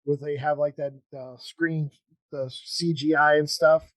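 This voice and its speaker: A podcast host in a casual chat, speaking in hesitant, halting phrases. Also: medium pitch, fairly even intonation, dry recording.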